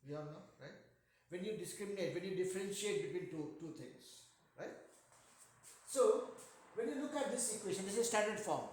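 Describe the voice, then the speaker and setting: A man's voice: high-pitched, expressive, moderate pace. A middle-aged man with an Indian accent teaching a math class, asking his students questions and cracking jokes. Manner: joking, asks students questions. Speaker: math tutor. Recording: echoey room.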